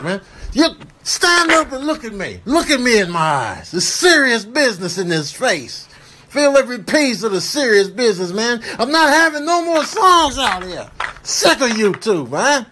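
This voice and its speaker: A man's voice: sits high, sharp tone, slight background noise, heavily acted. A man voicing an indignant, bossy house pet that rants and scolds in exasperation in a comic animal voice-over.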